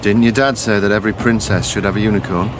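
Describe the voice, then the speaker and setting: An actor playing a patient psychologist who draws out buried memories, speaking slowly and calmly in a coaxing, hypnotic way. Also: male voice, lowish voice, background noise, natural voice. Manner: calm, slow, coaxing, hypnotic questioning. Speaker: memory recall specialist. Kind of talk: radio drama acting. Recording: music playing under the voice.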